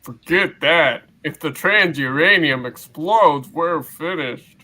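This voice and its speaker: A man's voice: on the high side, slow pace, sharp tone, exaggerated voice. A man voicing a confident, wry superhero in a dry, quippy manner, reading a comic book aloud. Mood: grave.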